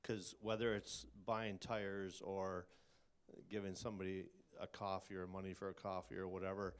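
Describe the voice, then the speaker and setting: A man's voice: low-pitched, level tone, normal speed, clean tone. A man co-hosting a casual faith talk, speaking thoughtfully and searching for his words.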